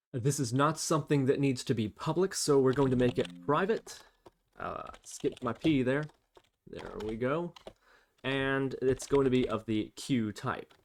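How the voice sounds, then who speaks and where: A man with a medium-pitched voice presents a screen tutorial, explaining things step by step. Keyboard typing and clicks sit behind him, along with a faint background noise. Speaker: tutorial host. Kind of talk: explanatory programming tutorial, talking while typing. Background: computer keyboard typing and clicks.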